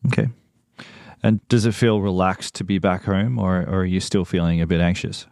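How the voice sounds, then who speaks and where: A man with a fairly low voice narrates and interviews in a measured, earnest way. The sound is dry and close, with no room around it. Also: fairly even intonation, vocal fry, darkish tone, no echo. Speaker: podcast host.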